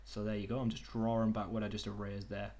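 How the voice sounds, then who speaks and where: A young man casually talking through a screen-share tutorial on a video call, relaxed and chatty. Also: fairly low pitch, fast, a crowd in the background. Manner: relaxed, chatty, says "okie dokie" and "kind of". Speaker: online workshop tutor.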